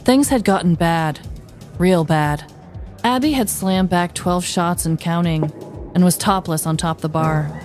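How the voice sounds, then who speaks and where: A woman's voice: fairly low pitch, measured pace, darkish tone, faint music behind. A woman podcast host narrating a scripted comedic story.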